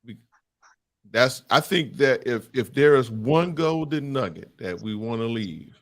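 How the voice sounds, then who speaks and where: A man's voice: medium pitch, unhurried. A middle-aged man hosting a men's discussion, talking in an emphatic, preaching style that repeats and stresses its points. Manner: emphatic, repetitive, animated. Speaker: podcast host leading a men's discussion. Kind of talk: impassioned preaching-style talk.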